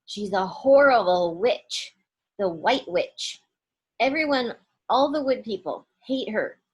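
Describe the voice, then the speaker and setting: A woman's voice: medium pitch, expressive, slow pace, slightly rough. A woman voicing an earnest, emphatic young girl in a storybook. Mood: indignant.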